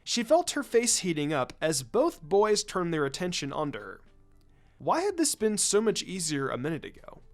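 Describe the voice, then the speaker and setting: A man reading a story aloud as an expressive narrator of scripted fiction. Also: high-pitched, normal speed. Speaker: story reader.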